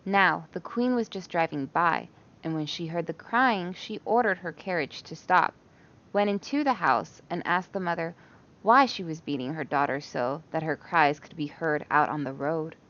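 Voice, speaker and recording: A woman's voice: sits low, normal speed, fairly bright tone, lo-fi sound. A woman reading a children's bedtime story aloud with expression.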